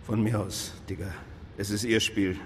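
Man acting a blustering male police chief, forceful bright tone, sarcastic, commanding, crime radio drama, light noise behind. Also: lowish voice, steady tone, moderate pace, in a large hall, close to an everyday voice. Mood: exasperated.

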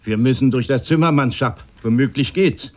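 Actor as a blunt mid-voiced sailor. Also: male voice, medium pitch, normal speed, close to an everyday voice. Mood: practical. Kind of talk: German radio drama acting with narrated passages.

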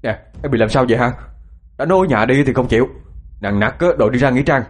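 A man playing an exasperated husband in an audio story, hurried and prone to stammer. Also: lowish voice, a little mellow, husky. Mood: angry scolding. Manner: irritable, scolding, hurried, stammering. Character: exasperated husband of a crazed wife, scolding, apologetic. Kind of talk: audio ghost story acting.

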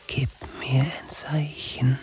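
Slow whispering dreamer played by a man. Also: mid-range voice, little pitch movement, close to an everyday voice. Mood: yearning, dreamy. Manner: slow, breathy, trance-like. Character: dreamy, yearning inner voice of a bird-obsessed lover. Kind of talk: whispered radio drama monologue.

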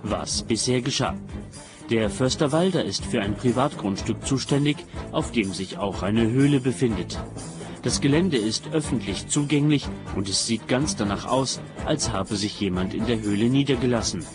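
A man's voice: medium pitch, moderate pace. A man reading a scripted introduction as the announcer of a radio play. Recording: music behind the narration.

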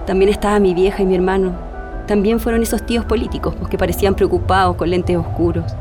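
An actress with a Chilean accent playing a grieving daughter giving intimate, emotional testimony in a radio drama. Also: female voice, medium pitch, steady tone, fast talker, natural voice. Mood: sorrowful, reflective. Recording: music behind the voice.

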